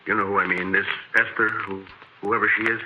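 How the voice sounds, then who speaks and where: An earnest, insistent sidekick steeped in old superstition. The voice is fairly low with a hard, very bright edge and plain folksy speech. It comes from an old radio horror play with a little background noise. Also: male voice, flat delivery, brisk. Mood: conspiratorial. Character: Patty, earnest, superstitious folksy pal who knows old-country lore. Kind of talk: 1940s radio horror acting. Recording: faintly noisy old radio broadcast.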